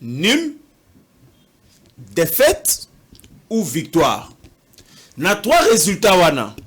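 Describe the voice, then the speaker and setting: A man's voice: on the high side, wildly swooping pitch, drawn-out words, fairly bright tone, husky. A Congolese man with a Congolese French accent speaks in an earnest, chatty way, switching easily between French and Lingala. His voice sits slightly above the usual male range. He talks like a party official giving an interview. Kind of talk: casual interview talk.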